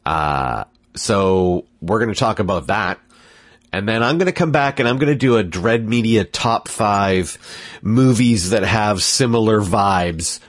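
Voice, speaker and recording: A man's voice: on the low side, husky, no echo. A congested man hosting a podcast, rambling casually and cutting himself off as he goes. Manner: rambling, self-interrupting, laughs at himself. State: congested from a cold. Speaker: podcast host.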